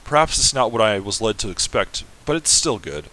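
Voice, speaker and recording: A man's voice: fairly low pitch, expressive, fairly quick, sharp tone, rough recording. A man reading a letter from an earnest fifteen-year-old bride to her mother. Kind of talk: semi-dramatic reading of a game.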